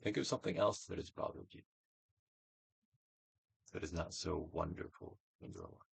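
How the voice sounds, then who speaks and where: A man giving a dramatic, theatrical reading of a self-help book as a guided exercise, with deliberate, swelling and falling emphasis. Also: sits low, huge pitch swings, creaky, breathy edge, dry recording. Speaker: narrator, audiobook reader.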